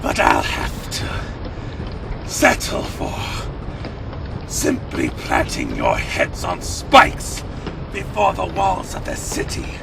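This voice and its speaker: A hateful vampire commander, shouting theatrically in a very high, strained register with a gravelly snarl. He draws out his venomous threats over clear background noise. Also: wildly swooping pitch, slow, full character voice. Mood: cold menace. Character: furious, hateful vampire commander, vengeful and contemptuous. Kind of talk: audio drama villain acting.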